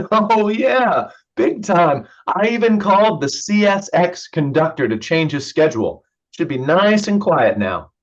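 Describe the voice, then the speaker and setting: A man's voice: a little high, sing-song, unhurried, hardly any pauses, lo-fi sound. A man acting in a naturalistic play reading as an evasive, joking young brother who hides his drinking. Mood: casual, joking. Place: remote online staged reading.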